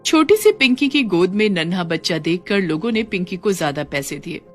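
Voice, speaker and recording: A woman's voice: fairly low pitch, big pitch swings, normal speed, faint music behind. A woman reading scripted narration for a moral story.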